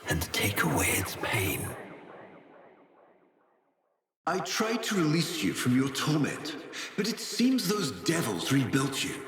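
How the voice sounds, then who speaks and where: An actor playing an imperious, bitter, tormented aristocratic game villain, theatrical and breathy, lingering on his words. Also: medium pitch, a bit bright, exaggerated voice. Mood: scornful, menacing. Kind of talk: dramatic video game villain acting.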